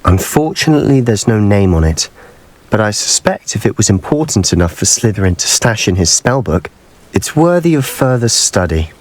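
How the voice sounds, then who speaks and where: Game role: earnest, driven schoolboy wizard, lowish voice, articulate and intent, long stone-hall reverb. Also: male voice, big pitch swings, normal speed. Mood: intent, determined. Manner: intent, articulate, urgent explaining. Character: earnest, driven teenage male wizarding student. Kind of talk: dramatic video game voice acting.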